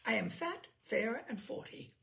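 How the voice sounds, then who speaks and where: A woman's voice: a little high, big pitch swings, unhurried, gravelly, sharp tone, exaggerated voice. A woman reader playing a smug, pompous man of about forty, a professional cliché expert testifying in a comic story. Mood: smug, obliging. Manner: pompous, pat answers.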